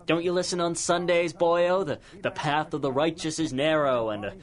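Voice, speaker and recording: A man's voice: on the high side, steady tone, normal speed, natural voice. An actor playing a cynical, corrupt older policeman in a 1930s radio crime drama, taunting in folksy tough-guy slang. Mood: threatening. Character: cynical corrupt older cop, menacing and mocking.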